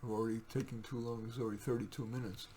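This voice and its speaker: A man's voice: medium pitch, little pitch movement, rapid-fire, warm tone, a little hiss or hum. A man reading his own poetry, theatrical and emphatic in the verse, with casual asides in between. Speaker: poet reading own work.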